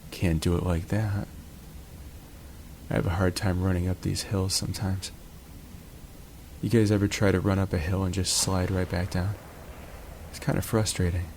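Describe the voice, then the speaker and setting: A man's voice: low-pitched, darkish tone, slight background noise. A man hosting his own channel, casually narrating a match from home in a laid-back, jokey, chatty way. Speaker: gaming channel host. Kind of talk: relaxed gameplay commentary.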